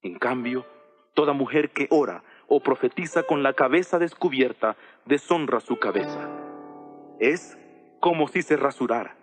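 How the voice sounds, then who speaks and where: A man playing an authoritative apostle who instructs his church in a measured, didactic way in a dramatized audio Bible, with music behind. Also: mid-range voice, natural voice. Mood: measured, instructive.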